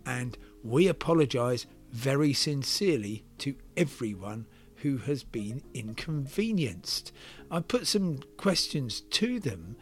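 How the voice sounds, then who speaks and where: A man hosting a podcast, giving a semi-scripted news commentary in a measured, explanatory way, with hesitant pauses and emphatic stresses. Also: mid-range voice, sing-song, faint music behind. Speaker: podcast host and travel commentator.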